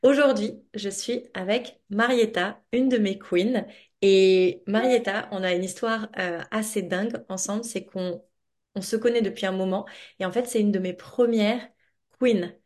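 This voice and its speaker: Casual, expressive woman hosting a podcast. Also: medium pitch, measured pace. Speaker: podcast host and money coach. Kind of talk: casual, chatty podcast interview.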